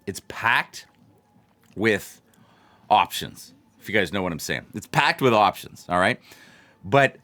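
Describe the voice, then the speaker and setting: A man's voice: fairly low pitch, animated, slow, long pauses, pushed and bright. A man hosts a casual livestream, chatting to viewers in a rambling way and repeating phrases for emphasis. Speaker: livestream host of a blackjack channel.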